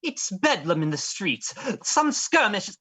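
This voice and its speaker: A woman playing a smug, scheming gentleman villain in a stage play reading, with florid, mocking formality. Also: medium pitch, sing-song, measured pace, husky, heavily acted. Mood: smug, sardonic. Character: smug, genteel male villain, scheming and sardonic.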